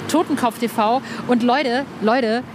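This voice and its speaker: High-voiced woman interviewer over traffic noise. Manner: halting, repeats words, excitable fan-like. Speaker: podcast interviewer. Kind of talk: casual chatty interviewing. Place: in Berlin with street traffic audible. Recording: clear city traffic noise behind. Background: car traffic.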